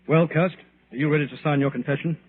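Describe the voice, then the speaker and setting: An actor playing a confident, businesslike police inspector in an old-time radio drama, brisk and official. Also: male voice, on the high side, fairly even intonation, close to an everyday voice. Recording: tinny, noisy old radio recording.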